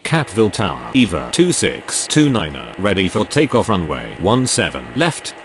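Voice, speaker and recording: A man's voice: sits low, wildly swooping pitch, normal speed. A man giving clipped, scripted aviation radio calls in an air traffic control game.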